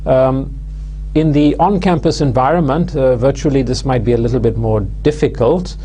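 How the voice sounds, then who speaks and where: Measured man lecturing. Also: medium pitch, mellow, a little hiss or hum. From London, England. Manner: measured, hesitant, many "uh" and "um" fillers. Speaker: university lecturer. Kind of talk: recorded video lecture. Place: studio with plain backdrop.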